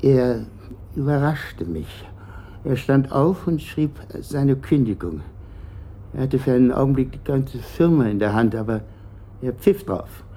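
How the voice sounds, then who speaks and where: An actor playing a bitter, uneasy middle-aged company director answering questions haltingly in a German radio play. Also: male voice, medium pitch, animated, dark tone. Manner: hesitant, evasive, halting.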